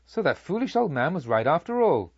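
A man's voice: a little high, big pitch swings, brisk, slightly rough, highs cut off, voice pushed up, full character voice. A man voicing an earnest, reflective male pilgrim who speaks formally in an audiobook. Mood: rueful wonder. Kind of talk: audiobook character speech.

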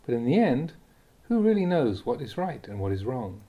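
A man, a monk, reading aloud a Buddhist teaching in a calm, deliberate way. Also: slightly rough, dull audio. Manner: calm, deliberate reading with lively pitch on key words. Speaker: monk reading Dhamma talks.

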